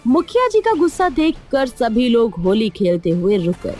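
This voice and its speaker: A woman's voice: fairly high pitch, sing-song, moderate pace, dark tone. A woman narrating a scripted cartoon moral story as a storyteller.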